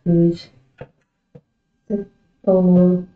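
A woman's voice: on the low side, completely flat delivery, drawn-out words. A woman gamer chatting casually over in-game voice chat in a plaintive, pestering manner. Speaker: online game player. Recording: phone-like, echoey game voice chat.

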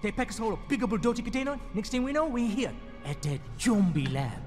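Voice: medium pitch, sing-song, fairly quick, a little mellow, faint music behind, close to an everyday voice. An actor voicing a plucky, wary streetwise orphan boy in a video game.

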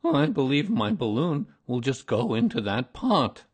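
A man's voice: mid-range voice, huge pitch swings, a little slow, gravelly, breathy edge, full character voice. A man voicing a gloomy, proud old toy donkey from a storybook, ponderous in manner. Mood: pleasantly surprised. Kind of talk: storybook character reading.

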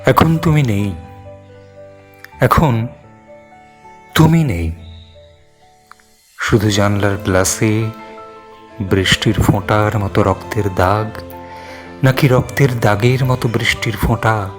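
A man reciting poetry in a solemn, sorrowful, dramatic way with lingering pauses. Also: lowish voice. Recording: soft music and rain sound underneath. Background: music and falling rain.

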